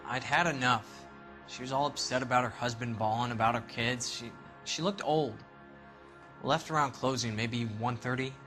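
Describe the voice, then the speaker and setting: Man acting young 1940s sailor suspect, middle-pitched, brash, defensive, blunt slangy talk, game cutscene, clear music underneath. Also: moderate pace, pushed and bright, close to an everyday voice. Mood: guarded.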